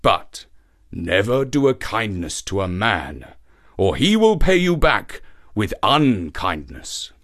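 A man voicing a very old male lion who speaks slowly and gravely in a storybook reading. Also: sing-song, fairly bright tone, heavily acted. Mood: solemn. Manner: slow, deep and grave.

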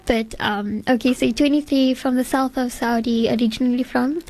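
A woman hosting a community radio interview in a studio, asking friendly, quick follow-up questions. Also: fairly high pitch, fairly even intonation, darkish tone, rough recording. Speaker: radio show host.

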